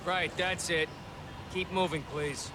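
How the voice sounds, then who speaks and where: A male security guard in a video game, polite yet firm. His voice sits a little high, with a casual, officious routine to it. Ambient noise is clearly heard around him. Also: steady tone, slow. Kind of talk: video game character dialogue.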